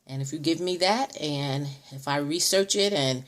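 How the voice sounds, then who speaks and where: Woman, late 40s, vlogger; low, chatty, self-correcting, preacherly stress. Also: normal speed. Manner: chatty, many ums and restarts. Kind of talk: casual direct-to-camera vlog.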